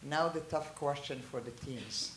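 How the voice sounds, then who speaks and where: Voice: mid-range voice, a little slow, slight background noise. A teacher from Israel, raised speaking Hebrew and Hungarian, giving a chatty informal talk and asking the listeners questions. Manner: chatty, asks the listeners questions, animated asides. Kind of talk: informal lecture to a small group. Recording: roomy echo.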